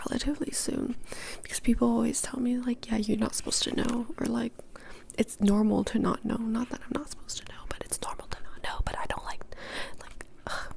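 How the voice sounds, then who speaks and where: A young woman whispering in a breathy, casual, rambling ASMR style as she answers questions, softly repeating words now and then. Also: mid-range voice. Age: young adult. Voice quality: breathy, mostly unvoiced whisper.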